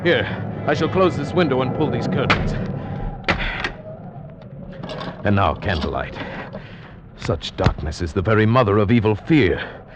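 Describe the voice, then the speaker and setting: An actor playing an earnest, nervous gentleman who narrates in a solemn, measured manner in an old-time radio drama. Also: lowish voice, lively intonation, heavy background noise, lightly acted. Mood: urgent. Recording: muffled old radio recording with music.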